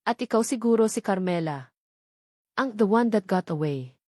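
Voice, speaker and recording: A woman's voice: mid-range voice, lively intonation, moderate pace, mellow, no room sound, clean studio sound, lightly acted. Woman voicing a sweetly venomous, haughty glamorous villainess. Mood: taunting. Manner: taunting, condescending, mixes English with Tagalog.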